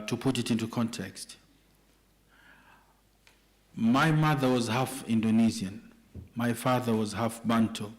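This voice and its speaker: A middle-aged man from Kenya, speaking as a respondent at an evening panel discussion in a joking, conversational way. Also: on the low side. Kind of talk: public talk at an evening panel.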